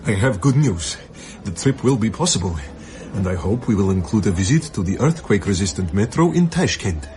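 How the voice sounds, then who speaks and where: An actor playing an affable, smooth, courteous man in a radio drama. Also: fairly low pitch, animated, fairly quick, natural voice. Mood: genial. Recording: noisy ambience behind the voice.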